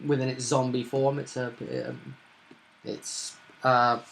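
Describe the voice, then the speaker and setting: A man talking casually as a game master, recapping and explaining a tabletop roleplaying session in a halting, thinking-aloud way. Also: medium pitch, little pitch movement, vocal fry, a bit bright, a little hiss or hum.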